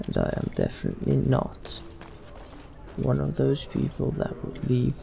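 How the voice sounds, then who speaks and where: A man's voice: medium pitch, huge pitch swings, unhurried, gravelly, mellow, slight background noise, full character voice. A man roleplaying a reserved, blunt young noble as he plays a fantasy game, in an understated, terse manner. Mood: matter-of-fact. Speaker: let's play streamer. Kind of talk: in-character game roleplay, reading dialogue. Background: faint music.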